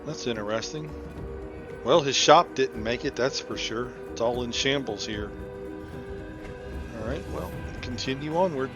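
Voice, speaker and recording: A man's voice: medium pitch, unhurried, long pauses, loud music behind the voice. A man giving casual, unscripted commentary as he plays a video game. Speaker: gameplay commentator. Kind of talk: casual let's play commentary.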